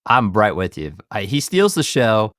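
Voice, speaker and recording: A man's voice: lowish voice, sing-song, measured pace, fairly bright tone, no room sound, studio quality. A man hosting a casual film discussion, talking in an enthusiastic, conversational fan's way. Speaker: podcast host.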